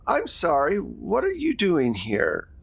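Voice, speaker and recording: A man's voice: high-pitched, wildly swooping pitch, slow pace, gravelly, husky, no echo, pitched above the performer's own voice, exaggerated voice. Polite, helpful hospital executive woman for a tabletop game, played by a man, dry close sound. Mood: questioning.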